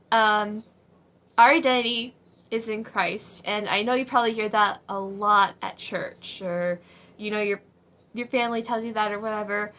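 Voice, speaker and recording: A young woman in her twenties, slightly hoarse, giving casual advice from her home kitchen in a rambling way, with many ums and likes. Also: fairly high pitch, fairly bright tone. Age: 20s. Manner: rambling, full of ums and likes, frequent laughs. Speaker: vlogger. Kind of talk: casual faith-based advice vlog.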